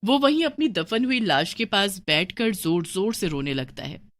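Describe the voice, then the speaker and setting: A woman's voice: medium pitch, expressive, normal speed, a bit bright, no echo. A woman narrating a scripted horror story for a cartoon, in a storyteller's style.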